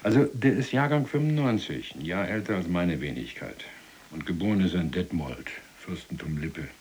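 A man's voice: low voice, lively intonation, normal speed, a little mellow, husky. An actor playing a chatty middle-aged German ex-policeman in prison, talking colloquially with reluctant, ironic asides in a radio play. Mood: wry, reluctant.

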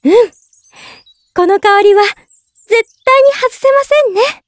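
A woman playing a gentle, polite young woman in love, narrating in character for a drama CD with sweet earnestness. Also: very high-pitched, slow, dry recording, clean studio sound. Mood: fond, serene.

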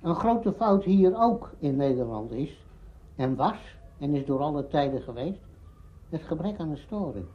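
A dull archive interview recording of a man. His voice sits fairly high and swings animatedly in pitch while he sets out his opinions in deliberate, separate phrases. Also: animated. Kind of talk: spontaneous interview commentary.

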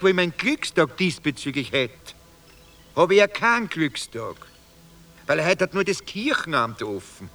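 Lively, bright-voiced Viennese vagrant. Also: male voice, medium pitch. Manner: rambling, musing, folksy storytelling with mock-grand turns. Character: talkative Viennese homeless man, wry, wheedling, street philosopher. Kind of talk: radio drama acting in dialect.

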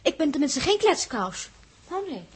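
A woman's voice: high voice, sing-song, moderate pace. An actress playing a playful, romantic young crew member in a 1960s radio drama, girlish, teasing and exclamatory. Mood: coaxing.

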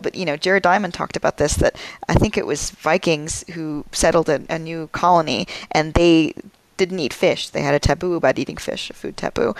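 A woman with a gravelly edge to her voice, explaining her ideas as a podcast guest in a thoughtful, articulate way. The sound is dry and close, with no room echo. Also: deep voice. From United States. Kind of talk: podcast interview.

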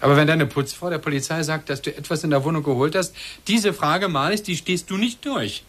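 An actor playing a suspicious husband in a radio play, deliberate and pressing, coldly accusing. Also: male voice, fairly high pitch, fairly bright tone. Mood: insistent. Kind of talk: German radio play acting.

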